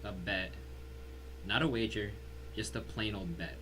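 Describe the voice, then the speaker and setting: A man acting a grim, vengeful character in an audio drama, speaking coldly and quietly. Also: fairly low pitch, a little slow, a little hiss or hum, poor audio. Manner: cold, quiet, flat delivery. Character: grim, vengeful man holding his tormentor at gunpoint.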